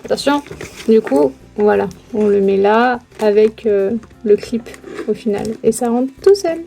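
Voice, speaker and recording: A woman's voice: on the high side, a little slow, vocal fry, dark tone, soft background music. A woman chatting informally and with slang as she demonstrates motorcycle gear for a vlog. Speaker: motorcycle vlogger testing gear. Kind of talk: casual chat while demonstrating gear.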